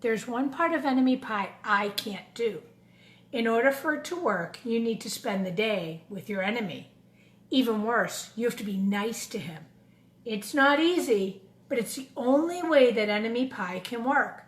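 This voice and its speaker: In a reverberant room, a calm storybook dad voiced by a woman shares secrets with his young son. The delivery is quiet, measured and confiding, in a fairly high register. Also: lightly acted. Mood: quietly serious.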